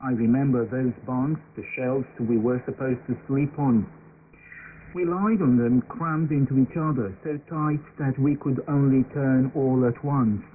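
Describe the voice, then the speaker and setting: A man's voice: medium pitch, moderate pace. A man reads translated first-person testimony as a scripted voice-over on a shortwave radio broadcast. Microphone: broadcast received over shortwave radio. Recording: noisy narrow-band shortwave radio broadcast.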